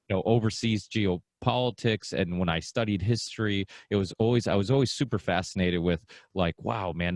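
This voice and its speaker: A man from Portugal with a very low voice hosts a podcast in a loose, rambling way, leaning on fillers. The sound is dry and close, with no room to it. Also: no room sound. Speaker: podcast host. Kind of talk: casual interview talk.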